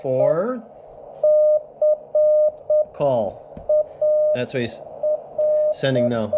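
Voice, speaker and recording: Casual Canadian ham operator, mid-pitched man, relaxed, thinking aloud, loud radio static behind. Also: very slow. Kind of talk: casual narration of radio contacts.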